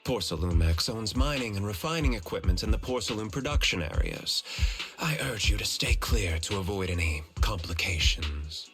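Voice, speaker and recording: An actor playing a formal, smug company executive in a video game, pompous and courteous with veiled menace. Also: male voice, on the low side, lively intonation, normal speed, a bit bright, faint music behind. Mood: smoothly warning.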